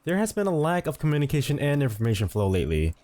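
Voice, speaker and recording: A man's voice: a little high, big pitch swings, quick pace, a little mellow, slight background noise. A man giving scripted instructional narration as the host of a tutorial, speaking close to the mic.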